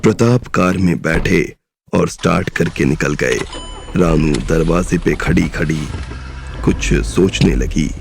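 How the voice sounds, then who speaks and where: A man narrating an audio drama in a steady storytelling style. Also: low voice, takes it slowly, gravelly, background noise.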